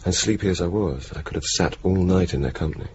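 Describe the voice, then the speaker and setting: A deep-voiced young RAF officer played by a man in a wartime radio play. He is cynical, decisive and wryly blunt. The old broadcast sounds dull, with clear noise behind it. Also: fast talker, darkish tone, close to an everyday voice. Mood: weary, reflective. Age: young adult. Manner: dry, sardonic, sweary, decisive. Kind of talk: radio drama acting, dialogue and narration. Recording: muffled, noisy old radio broadcast recording.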